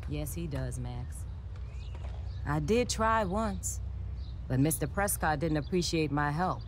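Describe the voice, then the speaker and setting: An actress playing a sensible, skeptical but caring science teacher in a video game, speaking in a measured, matter-of-fact way. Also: female voice, deep voice, lively intonation, a little hiss or hum. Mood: measured, regretful. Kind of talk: video game dialogue acting.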